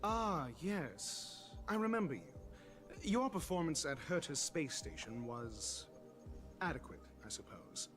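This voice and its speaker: Haughty scholar, highish lively voice. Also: male voice, unhurried. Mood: condescending. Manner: pompous, condescending, formal. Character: haughty, intellectual male scholar who lectures everyone. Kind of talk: video game voice acting. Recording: music playing behind.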